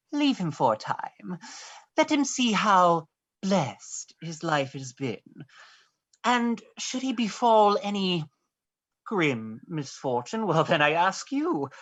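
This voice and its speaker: A woman's voice: on the low side, full character voice. A woman playing a gaunt, sickly young villain, a scheming man with an oily, falsely courteous manner, in a stage play reading. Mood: insinuating, sinister.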